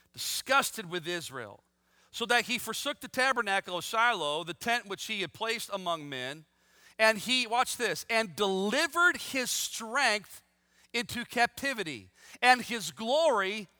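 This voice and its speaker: A man's voice: high-pitched, pushed and bright, no room sound, clean studio sound, in a large hall. A man in his fifties preaching a sermon, reading scripture aloud and then exhorting his listeners with rising, emphatic force. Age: 50s. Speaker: pastor.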